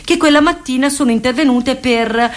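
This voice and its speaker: A woman's voice: high-pitched, moderate pace, a crowd in the background. A woman delivering scripted theatrical narration as a storyteller on stage or radio.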